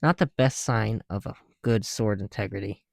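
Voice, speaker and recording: A tired man speaking softly and casually in an ASMR style, musing to himself as he works. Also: on the low side, moderate pace, gravelly, dry recording. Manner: hushed, musing to self while counting pieces. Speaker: ASMR video creator. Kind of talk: soft-spoken ASMR, casual chat while building.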